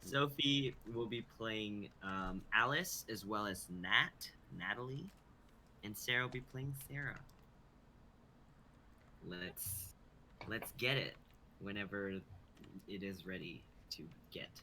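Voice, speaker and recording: A man's voice: lowish voice, slow, pauses often, bright, forceful tone, slight background noise, poor audio. A young man chatting casually as a regular on a writers' live stream. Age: young adult. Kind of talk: casual chat.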